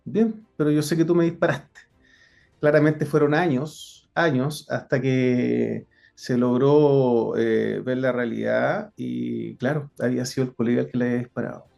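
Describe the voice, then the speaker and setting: Gravelly-voiced Chilean man recounting. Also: mid-range voice, fairly even intonation, normal speed, darkish tone, faint music behind. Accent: Chilean Spanish. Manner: frequent hesitations and self-corrections, earnest. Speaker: guest, former police investigator. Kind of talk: interview, personal account.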